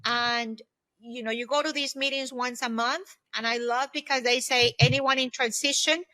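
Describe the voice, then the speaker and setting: A woman in her fifties talks as a podcast guest with a bright, forceful voice set a little high. The recording is dry and close, and she gives advice in an emphatic, conversational way, often checking that the listener follows. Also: fairly even intonation, normal speed, no room sound, poor audio. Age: 50s. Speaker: podcast guest, former aerospace executive. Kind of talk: conversational interview, giving advice.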